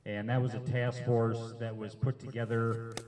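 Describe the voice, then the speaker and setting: A middle-aged man with a loud, carrying voice gives an informal public presentation in a hesitant, plain-spoken way with frequent pauses. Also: medium pitch, level tone, big-room sound, a crowd in the background. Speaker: sheriff's detective giving a public safety presentation. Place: meeting room with an audience. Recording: amplified microphone, distant room pickup.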